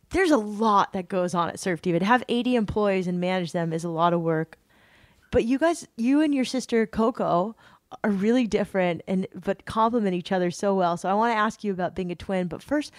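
A woman hosting a podcast, chatting casually and telling stories in a lively, animated way. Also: mid-range voice, no echo, studio quality. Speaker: podcast host. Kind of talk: casual interview chat.

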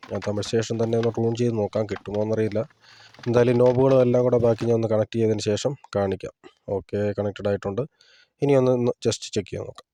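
A man's voice: on the low side, warm tone, slight background noise. A man giving a casual hands-on tutorial, explaining plainly step by step as a hobbyist presenter.

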